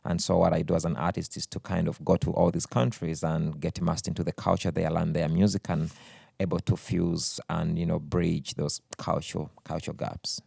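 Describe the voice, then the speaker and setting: A man's voice: deep voice, flat delivery, creaky, warm tone, no echo. A man from Uganda whose first language is Luganda, a musician talking thoughtfully with many fillers in a radio studio interview. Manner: thoughtful, unhurried, with frequent fillers. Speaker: musician guest.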